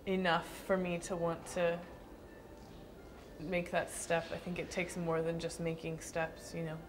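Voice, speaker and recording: A young woman dancer answering interview questions thoughtfully, with frequent pauses and restarts. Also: fairly low pitch, completely flat delivery, over music. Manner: thoughtful, hesitant with fillers and restarts.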